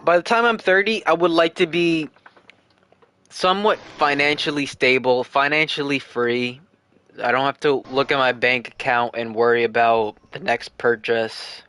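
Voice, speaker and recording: A man's voice: medium pitch, steady tone, normal speed, pushed and bright, clean tone. Man in his twenties, streamer, casual chat, earnest, rambling, restarts often. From United States. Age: 20s. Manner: earnest, rambling, repeats himself while thinking aloud. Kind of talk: casual livestream Q&A.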